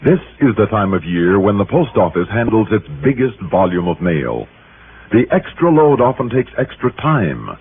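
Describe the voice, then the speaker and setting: Male announcer, American accent, lowish voice, lively intonation, vintage public service message, muffled radio sound. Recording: muffled old radio broadcast, faint noise.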